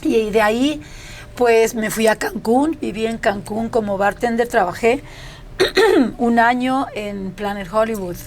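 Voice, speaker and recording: A woman's voice: a little high, measured pace, a little hiss or hum. A middle-aged woman, a chef telling her story as a guest in a chatty, anecdotal way. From Mexico. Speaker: chef and restaurant founder, guest. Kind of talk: casual interview chat.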